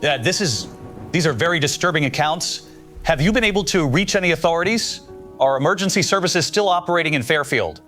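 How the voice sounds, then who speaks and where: A man's voice: on the high side, expressive, brisk, sharp tone, soft background music. A man playing a concerned radio news host in an audio drama, courteous and earnest as he questions people. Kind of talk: live call-in news show in an audio drama.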